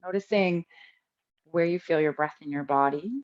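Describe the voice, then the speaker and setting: A woman teaching a guided breathing meditation in a calm, unhurried way with long pauses between instructions. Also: deep voice, dull audio.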